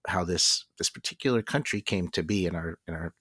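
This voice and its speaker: A man's voice: sits low, a little fast, fairly bright tone, husky. A middle-aged man co-hosting a podcast, speaking earnestly and reflectively as he wraps up. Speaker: podcast co-host. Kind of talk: podcast host wrap-up.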